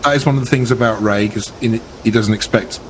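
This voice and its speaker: A man's voice: fairly low pitch, fairly quick, crowd noise, poor audio. A man chatting casually as a player in a tabletop roleplaying game.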